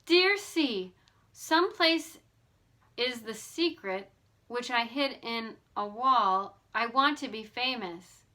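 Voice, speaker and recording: Woman voicing soft-hearted teenage girl, excitable, gushing, high bright voice, storybook reading. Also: takes it slowly, exaggerated voice. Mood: gleeful. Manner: gushing, exclamatory. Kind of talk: audiobook character voice.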